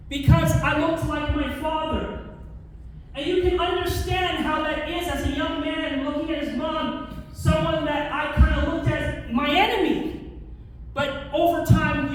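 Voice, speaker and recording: A high-pitched man gives a storytelling keynote in a big hall. He keeps turning to the audience with "right?" and often starts a phrase over. He is picked up from far off, with long booming reverb and crowd noise underneath. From Calgary, Canada. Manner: engages the audience, tags lines with "right?"; restarts and repeats words often. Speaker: keynote speaker. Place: large conference hall with an audience.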